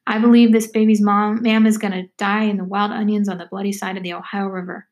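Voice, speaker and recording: A woman's voice: medium pitch, level tone, fast, a little mellow, lightly acted. A woman voicing an exhausted, fierce pregnant runaway who speaks in plain folk dialect in a story reading. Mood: despairing.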